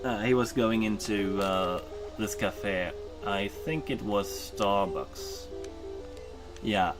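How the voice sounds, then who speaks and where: A man's voice: lowish voice, slow, over music. A Norwegian man chatting casually while streaming a game, rambling with many ums and uhs and correcting himself. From Norway. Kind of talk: casual chat while gaming.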